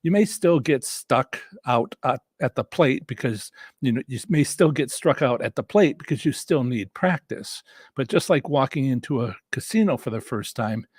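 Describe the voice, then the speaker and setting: Guy hosting a casual how-to livestream, rough husky tired voice, rambly and halting, dry sound. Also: medium pitch, lively intonation, no echo. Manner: halting, rambling, repeats and restarts phrases. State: voice worn from a lot of talking. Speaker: host and instructor of a live stream on slots strategy. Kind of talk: casual live-stream teaching and audience Q&A.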